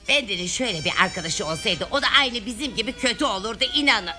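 A woman's voice: mid-range voice, expressive, fast. A woman voicing a scheming wicked witch in a dubbed cartoon. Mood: scheming, bossy. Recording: very loud music under the voice.

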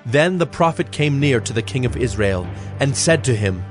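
A man narrating an audio Bible as a scripted, measured storyteller. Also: mid-range voice, animated. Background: music.

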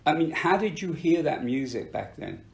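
A man interviewing a musician in casual chat, enthusiastic and full of quick interjections. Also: fairly high pitch, big pitch swings, creaky, a little mellow, roomy sound. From England.

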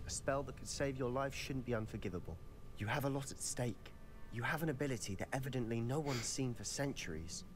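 A man's voice: mid-range voice, a little fast, hardly any pauses, faint music behind, natural voice. A man voicing an earnest young student wizard. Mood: encouraging. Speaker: game companion character. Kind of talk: video game dialogue.

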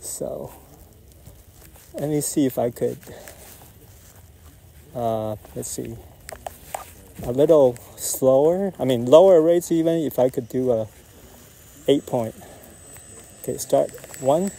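Calm man calling out flight maneuvers. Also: medium pitch, slow, vocal fry, pauses often, slight background noise. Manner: calm, calls out maneuvers in short phrases. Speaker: RC jet pilot. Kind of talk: live flight commentary. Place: outdoors at an RC airfield.

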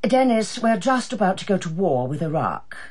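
An actress playing a sharp-tongued older female Prime Minister in a radio drama, with a clipped, commanding English accent and a condescending manner. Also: medium pitch, big pitch swings, moderate pace, husky. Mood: imperious, needling.

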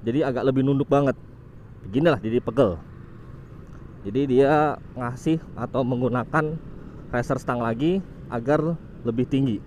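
A man's voice: medium pitch, normal speed, long pauses, slight background noise. Chatty young man riding a motorcycle. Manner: chatty, relaxed, informal with frequent "guys". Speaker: motovlog host. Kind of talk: casual motovlog commentary while riding. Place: on a motorcycle on a city road outdoors.

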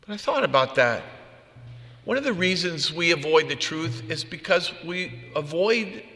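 A man's voice: on the high side, lively intonation, unhurried, sharp tone. A man preaching a homily as a priest, in a conversational, plain-spoken manner. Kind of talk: homily with personal anecdotes. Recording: long reverb of a large room.

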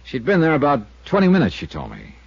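A man's voice: medium pitch, huge pitch swings, fast talker, creaky, slightly rough, dry recording, exaggerated voice. An actor playing a wisecracking male insurance investigator who narrates his own case in a dry, wry, matter-of-fact way, in a 1950s radio drama. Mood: guarded. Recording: dull old radio transcription.

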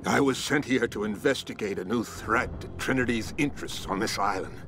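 An actor playing a grim, dying secret operative, weakened by wounds, giving a dramatic report in a game. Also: male voice, fairly low pitch, fairly quick, noisy background, close to an everyday voice. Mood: grim urgency.

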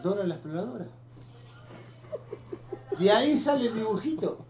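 A man's voice: high voice, animated, moderate pace, a little mellow, a little hiss or hum. A man with an Argentine Rioplatense accent telling rowdy, swearing stories among friends. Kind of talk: casual storytelling. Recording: echoey room with music.

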